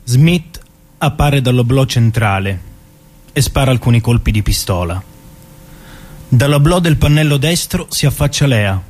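A man's voice: mid-range voice, lively intonation, measured pace, long pauses, a little mellow. A man reading scripted scene narration for a radio drama. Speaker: stage directions narrator.

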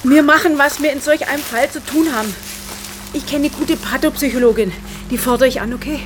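Woman playing forthright lady prosecutor, high voice, car and outdoor noise behind, radio drama. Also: a little fast, natural voice. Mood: decisive. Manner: direct, informal. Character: forthright, familiar female state prosecutor. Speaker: state prosecutor. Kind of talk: naturalistic radio drama dialogue.

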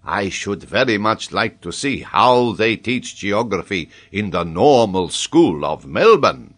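A man voicing an excitable, pompous middle-aged geography professor in a storybook reading, with emphatic, exclamatory delivery. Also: fairly low pitch, takes it slowly, heavily acted. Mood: delighted, eager.

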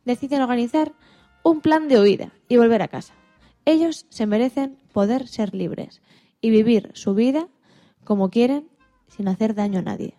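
A woman co-presenting on radio, reading a scripted recommendation haltingly and stumbling over words. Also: a little high, dark tone, faint music behind.

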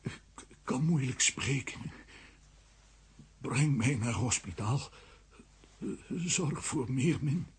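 A man's voice: medium pitch, takes it slowly, long pauses. An actor playing a weak, injured man who falters and can barely speak, in a children's radio play. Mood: weak, fading. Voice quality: husky, faltering. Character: injured, weakened man gasping for help.

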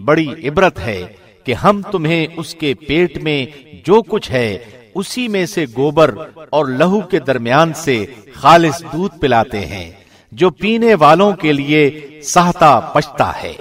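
A man giving a formal, measured and solemn scripted reading of a scripture translation, as a radio presenter would. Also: fairly high pitch, big pitch swings, a bit bright.

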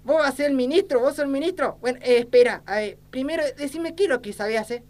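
A woman's voice: high voice, quick pace, darkish tone, natural voice. A woman playing a crooked, lecherous, ignorant old small-town politician, with an Argentine accent and folksy, blustering talk in an amateur satirical sketch. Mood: bossy, scheming.

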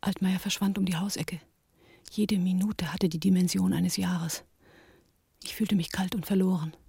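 An actress playing a tense woman in hiding, narrating in a hushed, breathy near-whisper in a radio drama. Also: lowish voice, fairly even intonation, moderate pace, no room sound, studio quality, full character voice. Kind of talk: radio drama acting and first-person narration.

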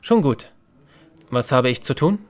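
A man's voice: medium pitch, animated, unhurried, natural voice. A young man playing a loyal, protective youth in an audio drama, earnest, polite and slightly formal. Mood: determined, protective. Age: young adult. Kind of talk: German audio drama acting.

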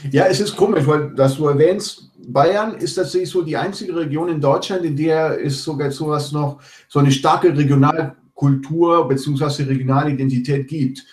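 A man from Latin America talking casually over a video call, explaining his points in a measured way and listing examples. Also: a little high. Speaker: panel guest. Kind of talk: casual political chat. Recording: echoey room.

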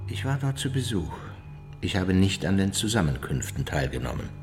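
An actor playing an evasive old Nazi war criminal in custody, answering curtly in a radio play. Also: male voice, deep voice, very animated, normal speed, big-room sound, faint music behind. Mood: guarded, evasive. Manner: curt, evasive denials.

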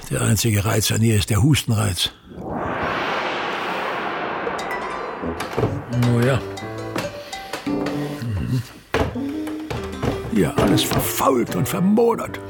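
An actor playing a pompous, embittered aging stage actor in a radio drama, declaiming grandly and with self-pity. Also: male voice, medium pitch, big pitch swings, slow, gravelly, long pauses, over loud music, exaggerated voice. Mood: irritated, scornful. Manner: grandiloquent, declaiming, self-pitying, repeats phrases. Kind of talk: theatrical German-language radio drama monologue.

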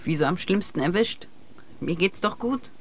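Earnest, hopeful young expedition member. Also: male voice, sits high, expressive, moderate pace, no room sound. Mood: defensive. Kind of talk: German radio drama acting.

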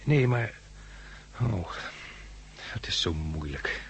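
An actor playing an earnest, gentle man in a 1960s Dutch radio drama, talking in a hesitant, conversational way. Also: low-pitched, expressive, takes it slowly, slightly rough, exaggerated voice. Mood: tender, nervous. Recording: noisy old radio recording with hum.

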